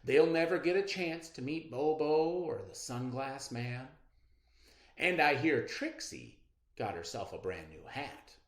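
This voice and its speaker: A storybook reader voicing a brisk, folksy grandmother who corrects her grandson. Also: mid-range voice, expressive, reverberant, pitched above the performer's own voice, lightly acted. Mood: gently chiding. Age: older. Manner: folksy, emphatic, no-nonsense.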